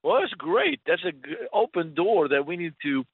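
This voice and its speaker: A man's voice: on the high side, sing-song, normal speed, husky, dry recording. A man who speaks English as a second language, teaching conversationally as a radio guest and posing rhetorical questions. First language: not English. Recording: narrow, tinny phone-like line.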